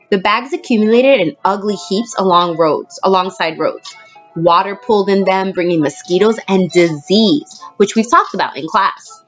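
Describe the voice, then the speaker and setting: Woman teacher reading aloud, slightly muffled, music behind. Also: mid-range voice. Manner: addresses children directly, explaining words and asking questions. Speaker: elementary school teacher reading aloud to students. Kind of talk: children's read-aloud with explanation and questions to listeners.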